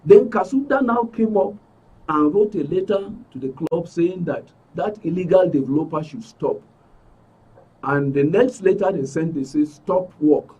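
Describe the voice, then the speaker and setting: A man with a Nigerian accent and a husky voice giving an emphatic account as an interview guest, repeating phrases for stress. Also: a little high, unhurried, mellow, recorded from a distance, lo-fi sound. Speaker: interview guest, club member. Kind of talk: spoken account in a broadcast interview.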